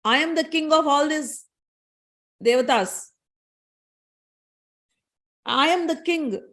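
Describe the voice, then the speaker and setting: A woman's voice: high-pitched, a bit bright, pitched above the performer's own voice. A woman voicing a boastful male king of the gods who makes proud, emphatic declarations.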